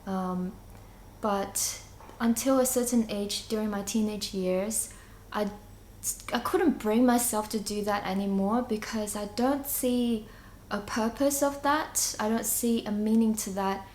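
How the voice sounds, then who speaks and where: A young woman from China and Singapore shares an unscripted personal testimony. She is reflective and halting, often pausing mid-sentence, with a medium-pitched voice. The room is noticeably echoey, with faint noise underneath. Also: a little mellow. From China, moved to Singapore at nine. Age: young adult. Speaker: church member giving a testimony.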